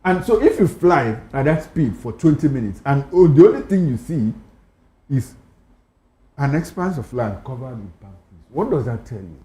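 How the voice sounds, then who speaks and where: Roomy-sounding Nigerian man, animated pitch. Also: moderate pace. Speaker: panellist on a talk show. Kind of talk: conversational commentary on current affairs.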